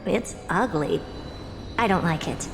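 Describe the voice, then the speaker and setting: An actress playing a haughty, impatient genius scholar in a video game, speaking in an imperious, theatrical and self-important way. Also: female voice, fairly low pitch, animated, measured pace, vocal fry. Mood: impatient, dismissive. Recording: loud music under the voice.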